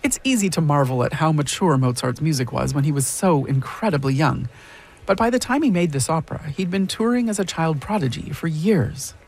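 Male radio host, high and husky, friendly scripted read. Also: animated, fairly quick.

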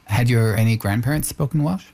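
A man's voice: mid-range voice, a little fast, dark tone. A man working as interviewer and narrator, speaking in a documentary style.

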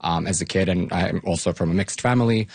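A man from Bosnia-Herzegovina giving informal, conversational interview answers as an academic guest, often softening points as they go. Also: sits low, fast, creaky, husky, dry recording. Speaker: academic guest, longtime fan of the topic.

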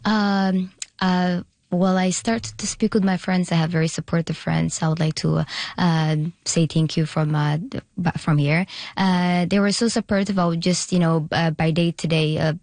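A young woman with a low voice and a gravelly edge, speaking haltingly with many restarts. She is a guest in a radio studio interview. The sound is close and dry. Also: fairly even intonation, a little mellow, no room sound. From Eritrea, raised in Sudan. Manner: halting, frequent restarts and hesitations. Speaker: guest, pageant contestant.

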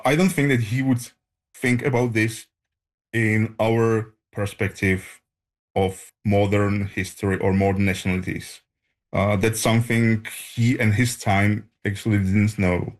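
Male academic interviewee, Czech accent, lowish voice, unscripted and feeling for the right English words. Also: flat delivery, a little slow. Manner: hesitant, searching for words in non-native English. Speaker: historian, interviewee.

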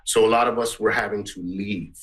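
A man's voice: lowish voice, fairly even intonation, brisk, a bit bright, poor audio. Earnest, rambling middle-aged man. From Guyana. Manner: earnest, rambling, many restarts. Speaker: musician and composer. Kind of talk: interview answers.

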